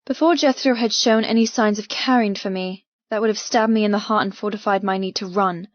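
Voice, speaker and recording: A woman with a mid-pitched voice reads first-person fiction as an audiobook narrator. Her delivery is dramatic and intense. The recording is very dry and a little dull. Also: fairly quick, dry recording.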